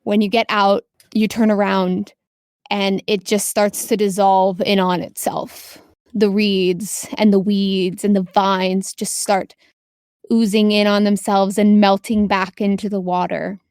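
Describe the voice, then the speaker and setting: A woman's voice: medium pitch, flat delivery, unhurried, a little mellow, clear, resonant tone, no echo, clean studio sound. A woman narrating a tabletop role-playing game as the game master, describing scenes to players.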